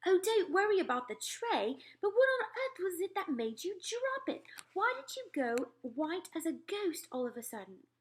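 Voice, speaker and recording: A woman's voice: very high voice, very animated, normal speed, hardly any pauses, voice pushed up. Woman voicing a posh, alarmed queen. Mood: distressed. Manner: upper-class, exclaiming and commanding. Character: refined elderly queen, composed yet shaken.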